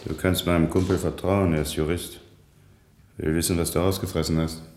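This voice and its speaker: An actor in a 1960s German radio play playing a gruff, terse jail inmate. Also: male voice, low voice, little pitch movement, fairly quick, darkish tone, natural voice. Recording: roomy, echoing 1960s radio recording.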